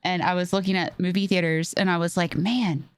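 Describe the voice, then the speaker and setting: A woman's voice: lowish voice, fairly even intonation, brisk, clean tone. A woman co-hosting a podcast in casual chat, blunt and sweary. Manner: blunt, profane. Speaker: podcast co-host.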